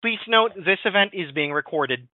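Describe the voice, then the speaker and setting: A man's voice: high-pitched, animated, moderate pace, dry recording. A man working as a conference call operator, making a formal, polite scripted announcement. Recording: dry, tinny phone line.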